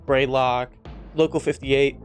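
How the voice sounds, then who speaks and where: A man's voice: mid-range voice, little pitch movement, a little slow, over loud music. Casual, sweary man giving his opinion. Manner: informal, profane, repeats himself for emphasis. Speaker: video essay host. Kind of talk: casual opinion commentary to viewers.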